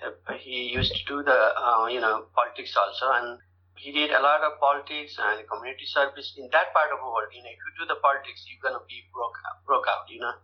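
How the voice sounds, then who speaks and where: A middle-aged man from Nepal with a Nepali accent, giving halting interview answers as a podcast guest, repeating words as he goes. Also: medium pitch. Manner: halting, repeats words and often checks with "right" and "you know". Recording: dull lo-fi audio with loud-ish background noise.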